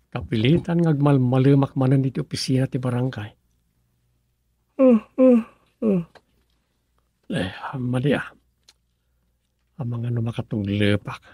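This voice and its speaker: A man's voice: medium pitch, a little mellow, breathy edge, no echo. An actor playing an exasperated rural village man on duty, with an Ilocano accent, in a comedic radio drama. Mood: grumbling.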